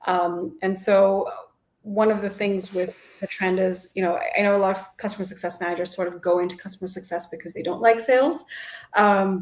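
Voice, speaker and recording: Chatty woman in an echoey room. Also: fairly low pitch. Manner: chatty, laughs easily, many fillers. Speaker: guest. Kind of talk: panel discussion. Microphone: video call. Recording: echoey room on a video call.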